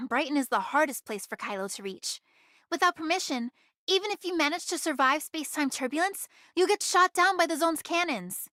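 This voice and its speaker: A woman voicing a bold, mischievous young girl in an anime video game, with a perky, expressive delivery. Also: high-pitched, normal speed, dry recording, clean studio sound. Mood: serious, explaining.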